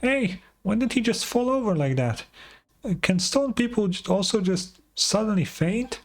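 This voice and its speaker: A little game sidekick in a high-pitched voice. The character is excitable and whiny, blurting out questions and stammering exclamations. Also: male voice, normal speed. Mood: startled.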